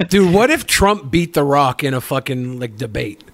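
A man's voice: mid-range voice, very animated, normal speed, a bit bright. A man on a talk show panel, chatting casually in slangy, profane speculation and questions. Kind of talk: casual, excitable banter.

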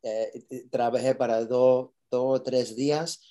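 A man's voice: medium pitch, steady tone, takes it slowly, warm tone. A man, an actor, answering interview questions casually, mixing Spanish and English with many fillers and restarts.

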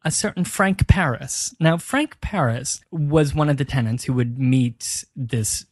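Male podcast co-host, mid-pitched storyteller, dry close sound, dramatic pauses. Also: no room sound. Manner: builds tales with dramatic pauses. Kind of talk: storytelling history chat.

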